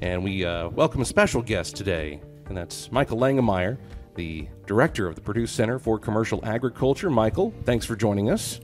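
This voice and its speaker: A man with a hoarse, somewhat low voice hosts a relaxed agricultural podcast. He jokes and teases his guests in a loose, easygoing way. Faint music plays softly behind him. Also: a little fast. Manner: joking, loose, self-deprecating. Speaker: podcast host. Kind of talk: casual farm podcast chat.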